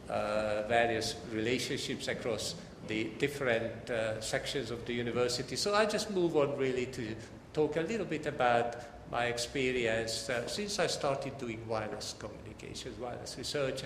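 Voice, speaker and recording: An older man from Hungary with a Hungarian accent gives a formal academic lecture as a professor, in measured phrases that often pause mid-sentence. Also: medium pitch. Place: large lecture hall, talking to an audience. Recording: echoey lecture hall with background noise.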